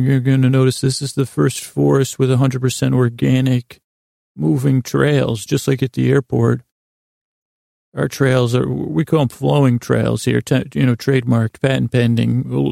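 Guy doing a sleepy bedtime podcast, creaky voice, flat even pitch, gentle rambling that keeps restarting. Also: dry recording, clean studio sound. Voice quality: creaky, gravelly. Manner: meandering, halting, repeats and restarts phrases; gentle, lulling, soothing. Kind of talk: rambling, improvised bedtime storytelling.

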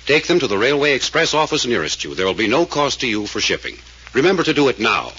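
A man's voice: medium pitch, big pitch swings, fast talker, pushed and bright, lo-fi sound, a little hiss or hum. A man reading a scripted public appeal in 1940s radio style, on an old broadcast recording. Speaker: radio actor making a charity appeal.

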